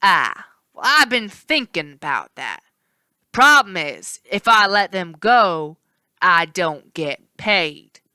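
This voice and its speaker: A woman voicing a smug, scheming male rancher villain who talks in a slow, condescending drawl. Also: fairly low pitch, very animated, sharp tone, clean tone, no echo, heavily acted.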